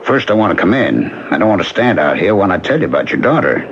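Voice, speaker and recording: An actor in a 1970s radio drama playing an earnest former hobo, insistent and pleading in manner. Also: male voice, deep voice, big pitch swings, quick pace. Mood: calm, explanatory. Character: earnest, persistent working man, former hobo. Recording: dull, noisy old radio recording.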